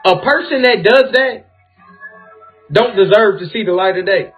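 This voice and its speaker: A man's voice: high-pitched, normal speed. A man in his thirties hosting a chat and speaking to his guest in an encouraging, affirming way. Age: 30s. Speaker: host. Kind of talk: supportive casual chat. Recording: tinny phone-like audio with faint music.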